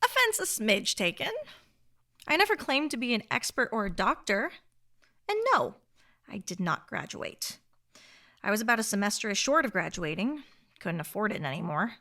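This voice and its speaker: A woman's voice: mid-range voice, very animated, normal speed, sharp tone. An actress playing an indignant, wordy woman in her twenties in a radio play, with a prim, sarcastic way of talking. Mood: indignant, dry. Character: indignant, wordy young female nursing-school dropout. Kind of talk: radio drama acting.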